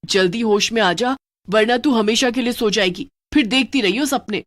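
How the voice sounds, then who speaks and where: A woman's voice: on the high side, moderate pace, close to an everyday voice. A woman voicing a loyal female witch who scolds her friend with urgency. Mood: urgent scolding. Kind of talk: cartoon voice acting.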